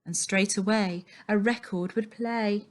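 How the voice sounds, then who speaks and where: A woman reading a children's story aloud as narrator, expressive and giving lists emphatic weight. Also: mid-range voice, measured pace. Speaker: story reader.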